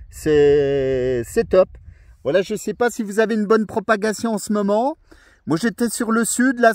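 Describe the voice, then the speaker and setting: A man's voice: sits high, dry recording, studio quality. A man chatting casually as an amateur radio operator, in a friendly, rambling, repetitive way. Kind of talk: casual radio contact chat. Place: outdoors in a forest area, mobile radio.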